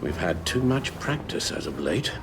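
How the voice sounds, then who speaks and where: An older British butler in a video game, reserved and terse. The voice is low and gravelly with dry, formal inflections, heard over music. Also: male voice, sing-song, normal speed. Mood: dry reluctant. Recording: loud music behind.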